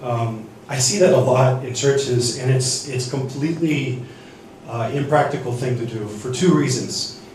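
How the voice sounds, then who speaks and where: A man teaching a seminar on church sound desks, speaking haltingly with many restarts and fillers. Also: medium pitch, darkish tone, a little hiss or hum. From United States. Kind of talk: teaching sound-desk basics. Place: church hall. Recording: echoey hall from far off.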